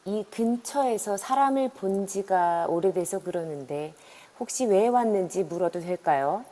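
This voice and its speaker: A woman's voice: medium pitch, lively intonation, a little slow, warm tone, natural voice. A woman voicing a worried middle-aged woman who earnestly warns visitors away, in an amateur audio drama. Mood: grave. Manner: earnest, urgent pleading. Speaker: voice actor. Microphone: phone in an online voice room. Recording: long reverb on a voice chat app.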